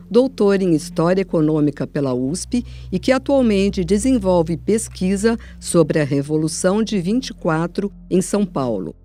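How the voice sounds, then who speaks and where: A woman's voice: fairly low pitch, warm tone. A woman with a Brazilian accent reading scripted narration as presenter of a radio documentary.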